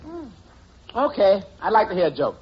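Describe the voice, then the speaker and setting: A man's voice: sits high, very animated, normal speed, slightly rough, full character voice. An actor playing a sly, skeptical waiter, dry and wry, as the straight man in 1940s radio comedy. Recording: very noisy, dull vintage radio recording.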